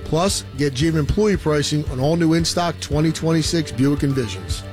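A man reading a scripted car dealership pitch for a radio advertisement. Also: animated, moderate pace, a little mellow, faint music behind.

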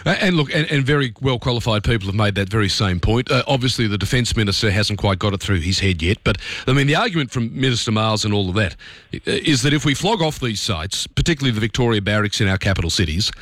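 A middle-aged Australian man hosting talk radio, brisk and opinionated in a conversational way. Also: lowish voice, animated, fairly bright tone, no room sound. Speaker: radio host. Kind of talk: talk radio commentary and interview.

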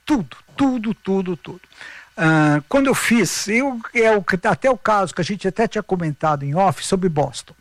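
A man's voice: high-pitched, normal speed, no echo. A Brazilian man in his fifties, a marathon runner, telling stories animatedly in a casual interview. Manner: animated, acts out quoted voices.

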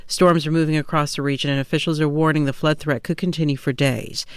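Smooth, dry-voiced female newscaster. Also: low voice, fairly even intonation, no echo. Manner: measured, formal delivery. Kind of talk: radio newscast. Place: radio studio. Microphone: studio microphone.

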